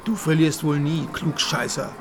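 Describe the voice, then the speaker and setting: A man's voice: a little high, fairly even intonation, moderate pace, music in the background, lightly acted. A man acting a surly, greedy gambler in a radio play, with brusque and sneering colloquial talk. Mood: surly, irritated.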